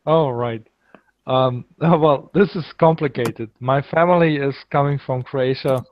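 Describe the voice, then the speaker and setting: A man's voice: mid-range voice, unhurried, dull audio, a little hiss or hum. A man chatting casually on a group video call, hesitant and often repeating words as he searches for English. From Germany. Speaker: call participant. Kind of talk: casual online group chat.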